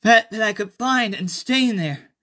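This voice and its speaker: A man's voice: sits high, animated, moderate pace, pushed and bright. A man reading his own short horror story aloud as a dramatic first-person narrator.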